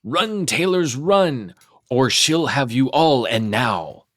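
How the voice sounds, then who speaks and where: A man's voice: medium pitch, lively intonation, slow, fairly bright tone, no echo, studio quality. A man voicing tiny merry mice that chant teasing rhymes in a singsong way for a storybook. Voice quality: little, twittering. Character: tiny merry singing mice, taunting a cat.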